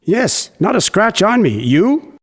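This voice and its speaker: A man's voice: high-pitched, big pitch swings, takes it slowly, husky, full character voice. A man acting in a radio drama as a plain-spoken young fisherman. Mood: startled.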